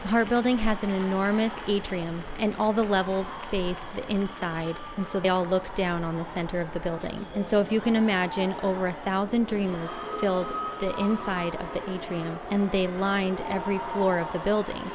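A young woman co-hosting and reporting on a news podcast, reading a script in a clear, steady, conversational way. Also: medium pitch, moderate pace, few pauses, background noise. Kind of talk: scripted podcast narration with banter. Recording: music bed underneath.

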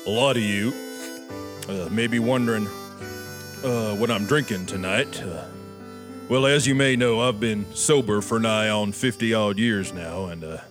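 A man playing a folksy, rambling old cowboy host with an American drawl and a deadpan manner. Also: lowish voice, unhurried, natural voice. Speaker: host of a comedy sketch show. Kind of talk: folksy comic monologue linking sketches. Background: guitar and harmonica music.

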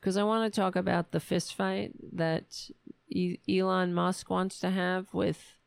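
A woman with a gravelly, fraying voice works through an angry, profane rant as host of her own commentary show, taking her time over each point. She is plainly losing her voice, and the sound is close and dry. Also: fairly low pitch, level tone, a little slow, no room sound. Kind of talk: solo political commentary show.

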